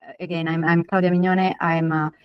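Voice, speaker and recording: Woman from Italy, low voice, dry sound, halting moderator on a call. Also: flat delivery, clean tone, no echo, highs cut off. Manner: halting, many fillers. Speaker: panel moderator. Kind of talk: online panel talk.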